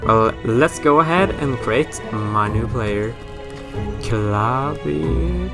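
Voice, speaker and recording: A man's voice: medium pitch, expressive, slow pace, vocal fry, over music. A man from Sweden with a Swedish accent, rambling and joking his way through a horror game let's play. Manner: rambling, self-mocking, swears casually. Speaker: gaming YouTuber.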